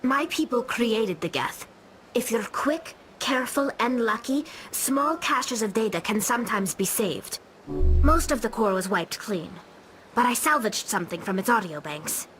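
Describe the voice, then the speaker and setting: A woman's voice: on the high side, animated, measured pace, over music, poor audio. A young actress playing an earnest alien engineer in a video game, patiently explaining her people's ways. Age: young adult.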